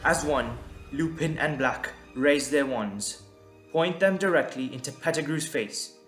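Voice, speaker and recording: Slow-paced male story narrator, roomy sound. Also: mid-range voice, fairly bright tone. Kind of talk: scripted dramatic narration. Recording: roomy echo, background music.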